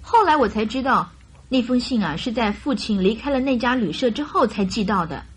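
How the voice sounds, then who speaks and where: High-voiced earnest young bride-to-be. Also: female voice, lively intonation, a little fast, husky. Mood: earnest recounting. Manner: hesitant, chatty, naive storytelling. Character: earnest young bride-to-be recounting her missing fiancé. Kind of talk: radio drama acting.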